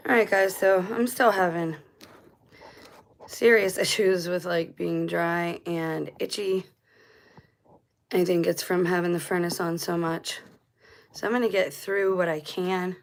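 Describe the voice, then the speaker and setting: A woman with a lowish voice hosts her own channel, talking casually and drifting between thoughts. Her throat sounds dry and a bit scratchy, and she often backs up to fix her words mid-sentence. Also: steady tone, measured pace, gravelly, long pauses. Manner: rambling, self-correcting, conversational. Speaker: channel host. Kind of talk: casual commentary vlog.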